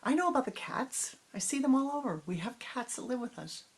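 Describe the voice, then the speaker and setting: A woman's voice: big pitch swings, husky, heavily acted. A woman voicing a seven-year-old girl who is sure she already knows everything, in a storybook tale. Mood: eager. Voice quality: high, quick and bright.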